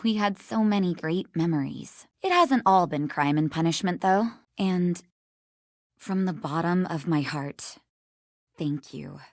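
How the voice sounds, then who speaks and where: A woman's voice: lowish voice, dry recording, clean studio sound. A woman playing a sincere, remorseful young woman in a video game, speaking in an earnest, conversational way.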